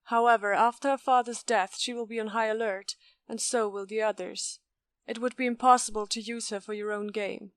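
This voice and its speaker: A woman playing a bookish, deadpan, know-it-all strategist in an audio drama, speaking matter-of-factly. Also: fairly high pitch, brisk, clear, resonant tone, no echo, lightly acted. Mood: analytical.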